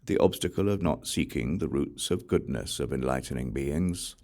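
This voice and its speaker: A man reading Buddhist scripture as an audiobook narrator, in a measured, solemn recitation. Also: a bass voice, animated, a little mellow. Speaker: audiobook reader.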